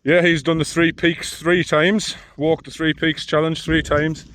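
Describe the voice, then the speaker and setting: A man, talking in a deep, mumbling voice in a casual walking vlog outdoors, rambling with dry self-mocking asides. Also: little pitch movement, unhurried, a bit bright, a little hiss or hum. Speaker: long-distance hiker vlogger. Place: outdoors on a hill trail.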